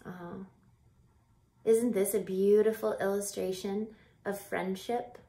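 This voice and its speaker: A woman in her thirties reads a children's picture book aloud like a teacher, expressively, with drawn-out phrasing and asides to young listeners. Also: medium pitch. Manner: animated, deliberate, drawn-out phrasing with hushed whispers. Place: small home room by a window. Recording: roomy echo from home camera mic.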